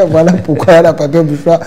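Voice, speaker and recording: A man's voice: a little high, steady tone, moderate pace, warm tone. A man hosting a talk show, congested from a head cold and pressing his guest with probing questions.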